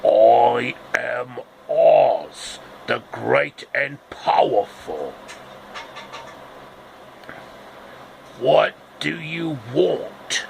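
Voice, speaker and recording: Imposing, demanding storybook wizard, acted by a male reader, speech slow and stretched, noisy recording. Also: medium pitch, animated, creaky, darkish tone, exaggerated voice. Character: great and powerful wizard, imposing, demanding, Hitchcock-styled.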